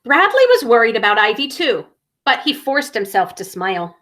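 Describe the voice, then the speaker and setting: A woman's voice: a little high, big pitch swings, moderate pace, a bit bright. A woman reading a children's story aloud, dramatically and with careful emphasis on sound words.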